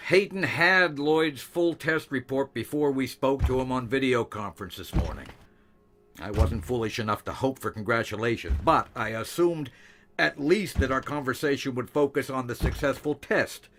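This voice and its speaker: An actor playing a dry, exasperated senior male boss in an audio drama, speaking formally and with measured delivery. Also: medium pitch, music in the background, lightly acted.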